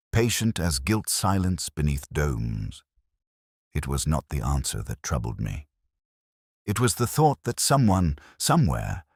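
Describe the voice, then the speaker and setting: A man playing a weary, melancholy older police inspector, narrating a mystery drama in a measured, wry, literary manner. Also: very deep voice, slightly rough, no echo, studio quality, full character voice. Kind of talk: slow literary first-person mystery narration.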